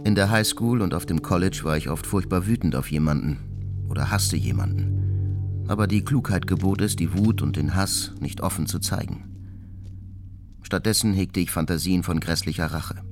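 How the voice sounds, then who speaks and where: An actor playing a brooding, resentful, coldly self-important genius who recounts his life in a measured, formal monologue for a radio documentary. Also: deep voice, natural voice. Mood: cold resentment. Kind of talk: dramatized first-person monologue in a radio documentary. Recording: studio voice with music underneath. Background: music.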